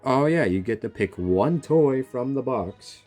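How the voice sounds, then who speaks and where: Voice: medium pitch, lively intonation, unhurried, a little mellow, soft background music. A gamer giving casual reaction commentary on a game story, bantering in a teasing, exasperated way.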